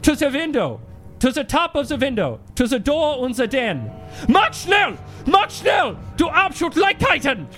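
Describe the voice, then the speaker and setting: A man's voice: very high voice, measured pace, full character voice. Man putting on a theatrical, gloomy German poetry reader. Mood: frantic, aggressive. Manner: theatrical, pedantic deadpan explanations. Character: solemn, gloomy German cultural ambassador reading scary Christmas poetry. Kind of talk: dramatic comic poetry reading. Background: music behind the voice.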